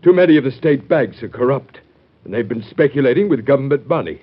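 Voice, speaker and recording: A man's voice: mid-range voice, animated, quick pace, slightly rough. An American man playing a querulous, dryly pessimistic Secretary of State in an old-time radio drama. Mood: gloomy. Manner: querulous, dry, gloomy. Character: grumbling, pessimistic Secretary of State bundled against cold. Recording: muffled old radio show recording.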